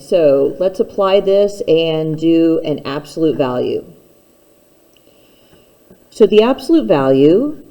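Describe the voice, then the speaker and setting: A woman's voice: deep voice, muffled recording. A woman teacher giving a step-by-step instructional math lesson, speaking plainly and patiently straight to the learner.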